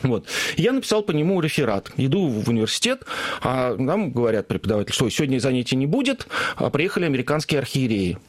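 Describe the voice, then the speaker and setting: A man from Russia speaks as a guest on a radio talk show. He tells his anecdotes with a lively, swooping voice and trips over himself with fillers and restarts. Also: mid-range voice, quick pace. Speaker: producer of Orthodox projects, guest.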